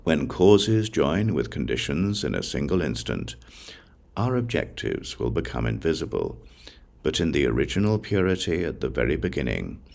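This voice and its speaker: A man reading a sacred text as an audiobook narrator, in a solemn, measured way with deliberate pauses between short phrases. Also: a bass voice, big pitch swings, vocal fry, a little mellow.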